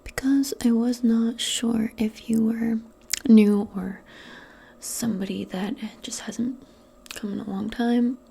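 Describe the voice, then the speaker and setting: Slow, whispery woman doing soft-spoken ASMR. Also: on the high side, fairly even intonation. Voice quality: breathy, mostly whispered soft voice. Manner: gentle, soothing, unhurried, conversational. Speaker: ASMR performer role-playing a modern witch. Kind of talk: soft-spoken ASMR personal attention role play.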